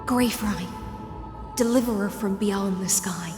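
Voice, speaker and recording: A melancholy siren warrior, acted by a woman, speaks slowly and solemnly, as in a fantasy game farewell. The voice rings in a long hall reverb, with music clearly behind it. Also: mid-range voice, natural voice. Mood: solemn resolve. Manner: solemn, poetic, measured. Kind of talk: dramatic video game voice acting.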